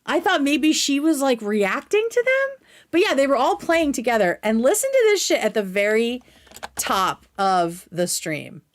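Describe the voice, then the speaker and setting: A woman hosting a livestream, giving casual, conversational reaction commentary with animated emphasis. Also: high voice, measured pace.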